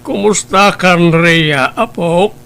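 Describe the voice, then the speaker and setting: Man voicing a stern old grandfather, gravelly tone, bright edge, slow and reproachful, family drama. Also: high voice, heavily acted. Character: grave elderly grandfather, stern but caring. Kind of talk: Ilocano radio-style family drama.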